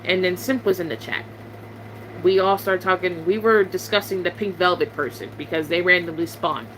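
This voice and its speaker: A woman's voice: fairly low pitch, normal speed, a bit bright, a little hiss or hum, rough recording. A young woman YouTuber, venting bluntly and sarcastically to her viewers in a casual chat.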